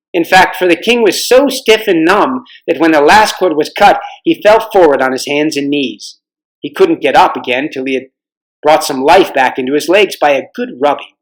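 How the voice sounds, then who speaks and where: Higher-voiced man reading a storybook aloud. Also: rough recording. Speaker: volunteer story reader. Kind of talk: read-aloud storybook narration.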